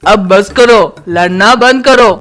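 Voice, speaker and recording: A woman playing a meek but firm old woman with a slow, pleading manner in an animated moral story. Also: mid-range voice, soft background music.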